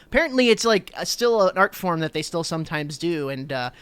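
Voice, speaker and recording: A man's voice: on the high side, animated, a little fast, a bit bright, clean tone. A man in his thirties from New Jersey, co-hosting a podcast, talking eagerly and rambling with lots of fillers. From New Jersey, USA. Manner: enthusiastic, rambling with many fillers. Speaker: podcast co-host. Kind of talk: casual chat.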